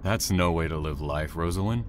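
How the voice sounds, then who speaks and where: A man playing a reserved, quietly intense train operator with veiled menace in an audio drama, speaking in a measured, courteous way. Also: deep voice, a little hiss or hum, close to an everyday voice.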